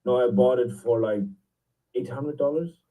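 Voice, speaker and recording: Hesitant man with a dark, mellow voice. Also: mid-range voice, moderate pace, distant mic, low-quality recording. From Scotland. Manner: hesitant, many restarts, storytelling. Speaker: guest from the lending team. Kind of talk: casual chat.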